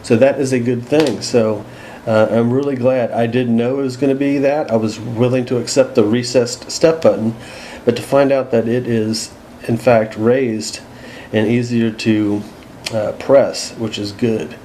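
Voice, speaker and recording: A man's voice: mid-range voice, steady tone, a little slow, warm tone, slight background noise, poor audio. A man giving a casual, unscripted radio gear walkthrough, thinking aloud in a hesitant, self-deprecating way. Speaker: radio hobbyist presenting a gear demonstration.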